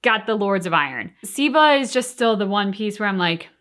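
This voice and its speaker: A woman's voice: medium pitch, animated, moderate pace, a bit bright. A woman co-hosting a reaction show, giving thoughtful, conversational commentary in a casual chat style. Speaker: co-host of a reaction channel.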